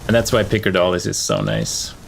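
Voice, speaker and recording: A male streamer talks casually through his gameplay in a low voice with a gravelly fry. He thinks out loud as he plays, over clearly audible background noise. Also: sing-song, brisk. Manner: thinking aloud while playing. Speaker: game streamer. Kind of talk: casual live gameplay commentary.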